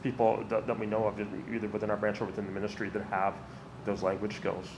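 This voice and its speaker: Man, 30s, panelist; low, deliberate, filler-heavy; hissy lecture-room recording. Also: completely flat delivery. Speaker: panelist, ministry issues lead. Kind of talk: panel Q&A answer. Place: university lecture room, indoors. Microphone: table microphone, seated.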